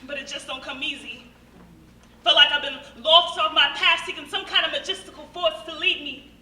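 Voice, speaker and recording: A young American woman performs spoken-word poetry on stage in an earnest, dramatic, rhythmic way with deliberate pauses. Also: high-pitched, steady tone, pushed and bright. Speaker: monologue performer. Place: stage at a live show. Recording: echoey hall from far off, faint noise.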